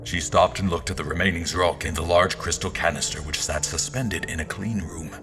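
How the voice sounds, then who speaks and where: A man playing a formal royal officer who narrates an audio drama in the first person, in a measured manner. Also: low voice, music in the background, lightly acted. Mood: grave, watchful.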